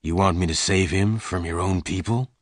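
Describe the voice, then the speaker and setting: A man voicing a big, calm stranger in a western story, quiet, polite and quietly dangerous. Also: low-pitched, measured pace, dry recording, studio quality. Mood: questioning.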